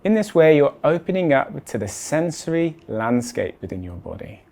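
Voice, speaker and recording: Measured man guiding a meditation. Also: a little high, sing-song. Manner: measured, deliberate, with soft phrase endings. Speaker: meditation guide. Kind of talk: guided body scan meditation.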